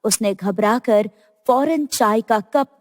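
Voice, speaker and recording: A woman's voice: on the high side, takes it slowly, no echo, clean studio sound, big-room sound. A woman narrating a scripted horror story as the storyteller.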